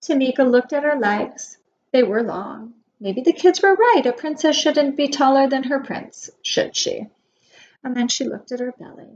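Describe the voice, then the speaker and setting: A woman in her forties reading a picture book aloud to preschoolers as their teacher, expressively and with measured emphasis on key words. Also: high-pitched, a little mellow. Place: small wood-panelled room indoors. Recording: dull webcam audio with faint noise.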